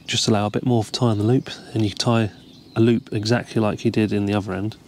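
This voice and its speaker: A man, calmly explaining step by step how to tie a fishing rig, recorded outdoors. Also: on the low side, fast talker, slight background noise. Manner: relaxed, explains each step while tying. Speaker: carp fishing angler demonstrating a rig. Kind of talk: casual step-by-step tutorial. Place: outdoors by the water, seated over a tackle box.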